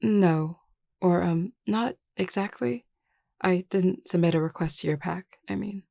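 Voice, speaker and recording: A shy, awkward vampire leader voiced by a woman in an audio roleplay. She is polite and apologetic, stammering and hesitating in a mellow voice, recorded dry. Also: fairly low pitch, unhurried, vocal fry, dry recording. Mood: nervous, polite. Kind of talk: scripted audio roleplay acting.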